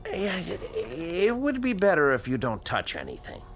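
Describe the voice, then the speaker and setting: An actor playing an educated, earnest scientist in a radio drama, American, measured and questioning. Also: male voice, high-pitched, wildly swooping pitch, exaggerated voice. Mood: nervous, accommodating. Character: earnest scientist, educated, patient, morally probing male doctor.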